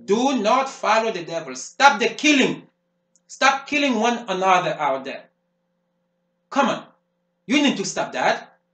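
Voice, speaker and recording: Fervent preacher. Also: male voice, high-pitched, big pitch swings, a little slow, pauses often, fairly bright tone, heavily acted. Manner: emphatic, repetitive preaching cadence. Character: fervent male preacher warning of the devil. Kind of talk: sermon. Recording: roomy echo.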